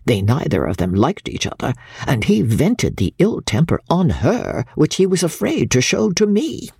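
A woman reading classic literature dramatically as a proud, spiteful, self-righteous middle-aged Victorian mother, with a haughty, deliberate delivery. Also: low voice, huge pitch swings, gravelly, warm tone, husky, no room sound, clean studio sound, full character voice. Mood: resentful, uneasy. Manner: haughty, emphatic, deliberate storytelling.

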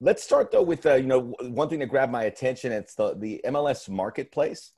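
An American man hosting a podcast in a middle-pitched voice. He talks earnestly and with emphasis, often doubling back to restart a phrase. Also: animated, a little fast, a little mellow, husky. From United States. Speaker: podcast host. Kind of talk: casual podcast talk.

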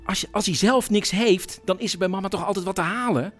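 A woman's voice: medium pitch, fast talker, faint music behind, natural voice. A woman playing a suspicious, bossy adult daughter, emphatic and insistent, in a radio drama. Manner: emphatic, interrupting.

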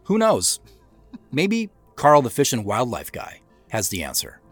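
A man delivers scripted commentary as a show's creator. His mid-pitched voice moves in a lively way, with music playing clearly behind him. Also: unhurried.

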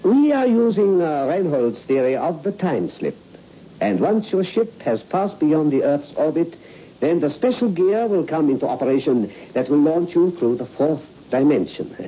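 A man's voice: a little high, very animated, moderate pace, warm tone. An actor playing an eager, fussy elderly male scientist in an old radio suspense play. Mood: earnest. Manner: fussy, repetitive, hesitant then emphatic. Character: excitable elderly male scientist, eager, absent-minded professor. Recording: muffled, noisy old radio recording.

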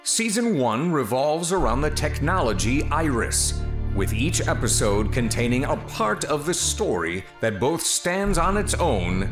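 A man hosting a podcast, reading a scripted promotional introduction with deliberate, emphatic phrasing. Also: fairly high pitch. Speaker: podcast host introducing a show. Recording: roomy sound with music underneath.

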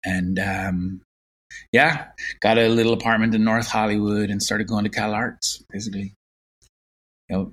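A man in his fifties with a Limerick Irish accent, recalling his past as an interview guest in an unhurried, reflective way. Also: fairly low pitch, flat delivery, a bit bright. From Ireland. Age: 50s. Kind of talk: casual interview.

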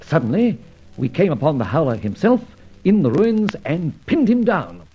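An actor playing a suave adventurer sleuth in an old radio drama, speaking in an articulate, wry, theatrical manner. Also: male voice, on the high side, wildly swooping pitch, normal speed, breathy edge, heavily acted. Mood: grave narration. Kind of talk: old radio drama acting with scripted narration. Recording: muffled old radio recording with music.

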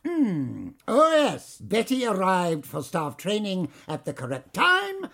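An actor playing a pompous, bullying theme park manager with an English accent, in audio drama style. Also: male voice, high voice, wildly swooping pitch, slow, creaky, a bit bright, exaggerated voice. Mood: sarcastic. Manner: pompous, theatrical.

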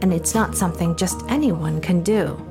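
A woman narrating a news feature in a measured, scripted reading voice. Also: fairly low pitch. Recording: narration over loud music.

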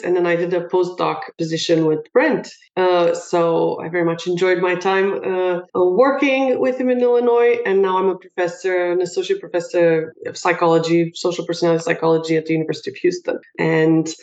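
A woman's voice: fairly low pitch, a little fast, hardly any pauses, a little mellow, lo-fi sound. Woman from Romania in a roomy room. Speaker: psychology professor, guest. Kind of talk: interview answers.

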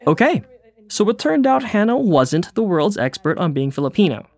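A man's voice: sits high, big pitch swings, moderate pace, darkish tone. A man narrating his own documentary story in a wry, self-deprecating way. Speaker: host and narrator.

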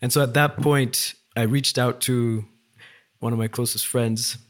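A man in his forties from Ethiopia, a company CEO appearing as a guest, telling his story in a relaxed, reflective way with occasional fillers. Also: medium pitch, measured pace. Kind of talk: conversational storytelling.

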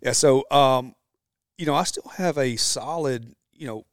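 A man in his early forties talking casually as a guest about running his businesses, in a relaxed, rambling way. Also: medium pitch, a little slow, no room sound, studio quality. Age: 40s. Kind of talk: casual conversation. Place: warehouse.

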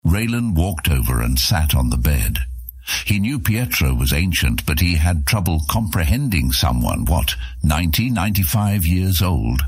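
Very deep, slightly husky male storyteller. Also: very animated, moderate pace, darkish tone, dry recording. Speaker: audiobook narrator. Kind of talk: scripted fantasy story narration.

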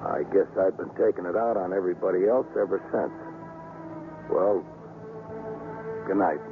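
An actor playing a gruff, guarded middle-aged baseball manager with blunt, halting, slangy talk in a 1950s radio drama. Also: male voice, low voice, music in the background. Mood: guarded, wistful. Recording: narrow, noisy 1950s radio recording.

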